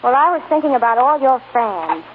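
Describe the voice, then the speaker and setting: An actress playing an eager, nervous young waitress, starstruck yet candid, in a 1940s radio drama. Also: female voice, fairly high pitch, big pitch swings, brisk, narrow, tinny audio. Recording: thin, hissy 1940s radio broadcast.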